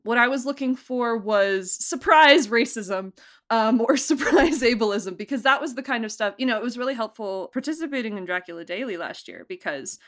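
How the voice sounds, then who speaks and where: A woman's voice: fairly high pitch, lively intonation, normal speed, a bit bright. A woman being interviewed as a producer, chatting casually in a self-effacing way and prone to laughing through her words.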